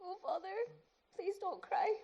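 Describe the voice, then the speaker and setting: A woman playing a high-voiced, sorrowful child. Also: expressive, a little slow, mellow, heavily acted. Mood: pleading comfort. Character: sad, gentle child comforting grieving parents. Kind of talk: 1930s-style radio play acting.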